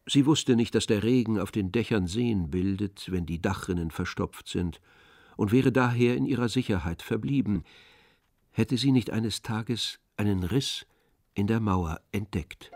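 A man narrating a literary audio drama in measured, polished storytelling phrases. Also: fairly low pitch, a little mellow, no echo, studio quality.

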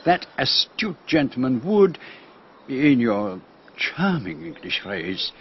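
An actor playing an astute, theatrical Belgian detective with a French accent, speaking formally and precisely in a radio drama. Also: male voice, medium pitch, very animated, slow pace, lo-fi sound, a little hiss or hum. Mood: amused, teasing. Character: astute, theatrical Belgian male detective, fussy and gently teasing.

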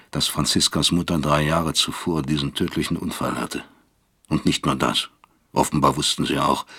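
An actor playing a middle-aged, guilt-ridden professor who narrates a confessional radio drama monologue in a deliberate, brooding manner. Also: male voice, a bass voice, bright, forceful tone, breathy edge, full character voice. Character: bitter, guilt-ridden East German professor looking back.

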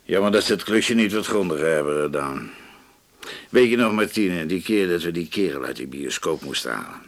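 A man's voice: low-pitched, expressive, moderate pace. An actor in a radio play voicing a breezy, familiar policeman with a casual, bantering way of talking. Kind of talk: radio drama acting.

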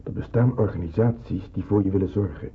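An actor playing a kindly older man in the resistance, earnest and gently persuasive, in a radio drama. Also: sits low, fairly quick, slightly rough. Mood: earnest, pleading. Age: middle-aged. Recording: muffled, narrow-band old radio play, hissy.

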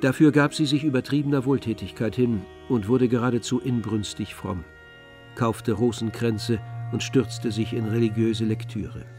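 Guy narrating a literary radio play, composed, medium pitch, soft music behind him. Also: fairly even intonation, moderate pace.

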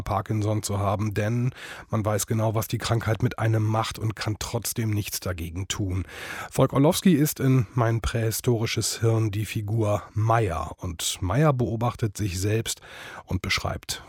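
A man's voice: lowish voice, breathy edge, no room sound, studio quality. A man reading a scripted introduction to a radio drama as its announcer. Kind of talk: scripted narration.

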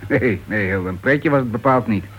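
On a muffled old radio drama recording with some noise, a man plays a touchy writer husband. He grumbles impatiently and sarcastically, full of his own importance, in a medium-pitched voice. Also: moderate pace, close to an everyday voice. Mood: grumbling, sarcastic. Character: irritable, self-important male crime writer, husband at home. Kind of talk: radio play acting. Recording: dull, noisy old radio play recording.

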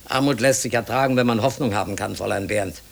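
An actor playing a despairing middle-aged unemployed man who pleads haltingly, in a German radio play. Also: lowish voice, creaky. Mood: bitter resignation.

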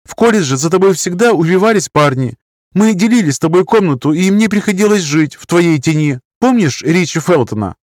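A man's voice: sits high, expressive, a little fast, a little mellow, dry recording, studio quality, voice pushed up. A man voicing an anxious, defensive woman of nearly thirty in an audiobook, pleading and justifying herself. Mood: bitter. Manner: pleading, self-justifying, wordy. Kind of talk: audiobook character dialogue.

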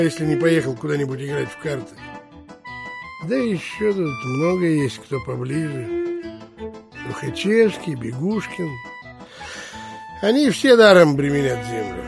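An actor playing a blunt, grumbling middle-aged provincial landowner in an audio drama. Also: male voice, fairly high pitch. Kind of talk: theatrical audio drama acting.

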